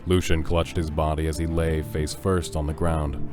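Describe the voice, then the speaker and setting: A man's voice: a bass voice, level tone, normal speed. A man narrating a scripted fantasy story as the narrator of an audio drama. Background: loud music.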